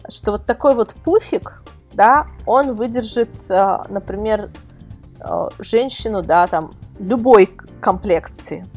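A woman's voice: mid-range voice, takes it slowly, darkish tone, soft background music. A woman speaking as a guest reviewer in a casual podcast discussion, emphatic and repeating words for stress. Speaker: guest, product reviewer and website editor.

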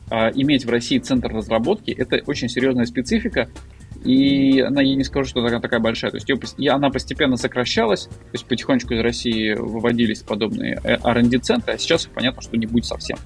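A man with a gravelly, creaky voice of middling pitch talks as a guest expert in a loose panel chat. He explains things colloquially, stumbling through fillers and restarting his sentences. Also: steady tone, fast, soft background music. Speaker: marketer. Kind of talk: casual panel discussion.

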